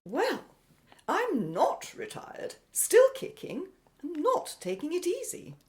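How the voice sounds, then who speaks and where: A woman's voice: very high voice, huge pitch swings, slow, darkish tone, exaggerated voice. A woman playing a spirited, inquisitive middle-aged British sister in an audio drama, with a theatrical, arch, teasing delivery. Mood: eager, wry.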